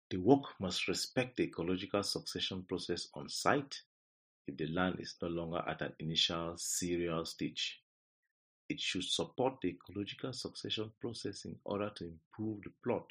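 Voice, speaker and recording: A Cameroonian man narrates a training lesson from a script. His voice is low and somewhat husky, with a Cameroonian accent. He reads deliberately, setting out each phrase in turn as an instructor would. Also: fairly bright tone.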